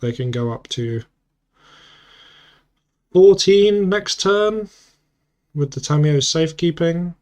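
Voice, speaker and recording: A man's voice: on the high side, animated, slow pace, long pauses, darkish tone. A man with a cold and a sore throat, muttering casual play-by-play commentary as he plays an online card game and thinks aloud. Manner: muttering, self-correcting play-by-play.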